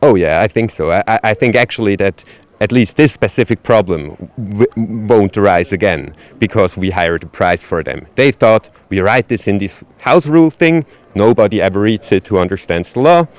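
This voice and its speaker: A man's voice: lowish voice, animated, normal speed. A man answering questions at a street protest, arguing his points firmly with frequent restarts. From Switzerland. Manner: articulate, argumentative, with frequent "uh" restarts. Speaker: demonstrator interviewed at a protest.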